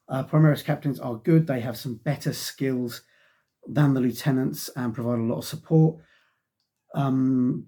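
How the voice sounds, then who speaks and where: A man's voice: mid-range voice, mellow, slightly rough. A middle-aged man reviewing a wargaming magazine in a casual, rambling way, sighing often and filling gaps with ums while he gets over a cold that has him losing his voice. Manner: rambling, frequent sighs and ums.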